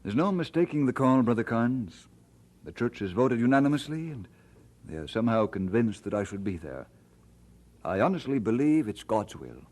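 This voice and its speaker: Earnest minister, devoted husband, radio drama style, medium pitch, lively intonation, sincere delivery. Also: male voice, moderate pace. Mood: sincere, grave. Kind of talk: old-time radio drama acting.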